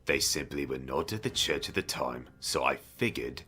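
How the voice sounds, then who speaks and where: A man playing a haughty, gruff fantasy village mayor who talks in a formal, condescending way in an audio drama. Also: rumbling low, animated, moderate pace, bright, forceful tone, heavily acted. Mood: irritated, defensive. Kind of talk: fantasy audio roleplay acting.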